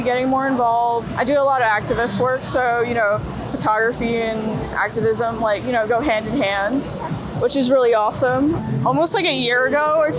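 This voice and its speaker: A young woman from Brooklyn, New York, talking casually in informal, slangy speech peppered with "like" and "you know". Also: high voice. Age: young adult. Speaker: street photographer being interviewed. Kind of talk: casual chat while walking. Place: outdoors on busy city streets. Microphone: wireless clip-on mic. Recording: noisy city street with traffic.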